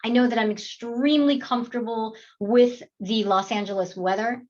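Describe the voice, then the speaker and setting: An actress from New York, chatting in an interview in an animated, talkative way, with many fillers and frequent laughter. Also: female voice, on the high side, moderate pace, dull audio. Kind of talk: casual interview chat.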